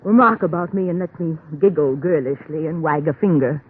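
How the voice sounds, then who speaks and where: An actress playing a restless, bored wife, languid and sarcastic, in 1950s radio noir style. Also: female voice, low-pitched, a little fast, slightly rough. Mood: bored, sardonic. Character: bored, restless, sardonic young American wife. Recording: tinny vintage radio recording, faint noise.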